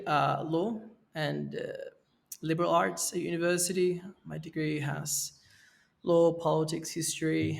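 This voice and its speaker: A man in his thirties from Venezuela, a podcast guest speaking in a relaxed way, with fillers and restarts. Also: a little high, vocal fry. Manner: relaxed, with fillers and self-corrections. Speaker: guest, NFT project co-creator. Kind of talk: casual podcast interview. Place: Mexico, where he is now.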